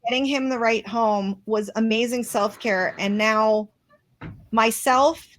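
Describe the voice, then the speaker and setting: Woman guest on an interview, higher voice, earnest, thinks out loud. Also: steady tone, slow. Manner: earnest, reflective, many restarts. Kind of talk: interview conversation.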